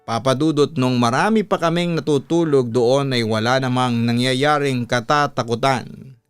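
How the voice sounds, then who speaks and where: A Filipino man with a clear, resonant voice reads a horror story like a radio host. His delivery is measured and deliberate, stretching words for weight. The sound is close and dry, with faint music underneath. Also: medium pitch, no room sound. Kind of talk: scripted horror-story narration of a listener's letter. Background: background music.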